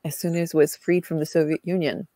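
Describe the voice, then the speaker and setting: A middle-aged woman from the United States, an author guest in a casual interview, telling animated stories and laughing often. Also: low-pitched, a little fast, no echo. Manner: animated storyteller, laughs often, acts out quoted voices.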